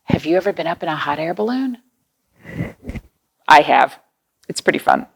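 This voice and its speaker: A woman's voice: low-pitched, lively intonation, normal speed, vocal fry, breathy edge. A woman hosting a children's storytime, reading aloud, explaining things simply and asking the young listeners questions.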